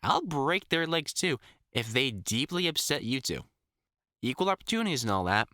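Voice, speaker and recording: A man's voice: medium pitch, big pitch swings, moderate pace, pushed and bright, no room sound. A man playing an older, experienced vampire hunter in an audio roleplay, talking informally and reassuringly like a mentor, with dry jokes and mild swearing. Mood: protective, joking. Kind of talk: intimate one-sided audio roleplay, conversational acting.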